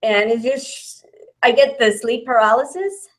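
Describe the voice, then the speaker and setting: A woman's voice: a little high, a little slow. A woman in her thirties from Mexico, with a Mexican accent tinged with Texan, chatting casually as host and reading out viewer questions. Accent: Mexican with some Texan. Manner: chatty, laughs often, restarts phrases. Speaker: channel host.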